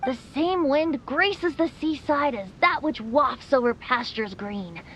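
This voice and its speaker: A woman's voice: high voice, slow pace, fairly bright tone, a little hiss or hum. A woman voicing a breezy, boyish, easygoing bard in a video game, light-voiced, hesitant and playful, congested with allergies. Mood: gentle, encouraging. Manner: hesitant, airy, playful, throat-clearing. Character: boyish, breezy, easygoing wandering bard, secretly a wind spirit. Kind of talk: video game character acting.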